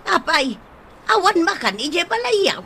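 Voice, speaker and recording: A woman's voice: very high voice, sing-song, unhurried, sharp tone, slight background noise, exaggerated voice. An actress with a Filipino Ilocano accent playing a scolding, shaming woman of the family in a radio drama. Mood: reproachful. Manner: scolding, nagging.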